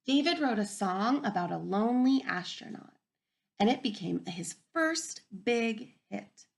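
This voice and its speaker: A woman teacher reading a picture book aloud to young children, gentle and encouraging, often dropping to a soft whisper. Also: a little high, expressive, unhurried, creaky. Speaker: kindergarten teacher. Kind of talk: read-aloud storybook lesson for young children.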